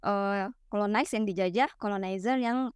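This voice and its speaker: A young woman from West Sumatra explaining ideas in a relaxed, teacherly chat, full of fillers and tag questions. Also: mid-range voice, moderate pace, clean tone. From West Sumatra, Minangkabau. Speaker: guest explaining literary theory.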